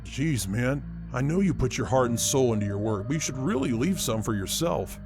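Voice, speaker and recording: An actor playing a casual, caring skeleton in a comic dub, talking informally and candidly. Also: male voice, mid-range voice, lively intonation, normal speed, music in the background, lightly acted. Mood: concerned. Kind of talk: fan comic dub voice acting.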